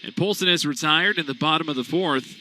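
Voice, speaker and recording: A man calling a college baseball game as radio play-by-play announcer, steady between pitches and rising loud on big plays. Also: a little fast, soft background music, poor audio.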